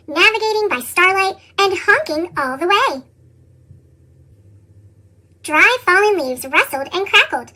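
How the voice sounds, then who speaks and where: Woman, children's read-aloud narrator, pitched-up chipmunk effect, animated intonation, bright tone. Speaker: picture book reader.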